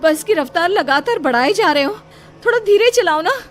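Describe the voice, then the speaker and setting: A woman's voice: very high voice, lively intonation, normal speed, faint music behind. A woman voicing an anxious, scolding young female bus passenger in a horror cartoon. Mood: indignant.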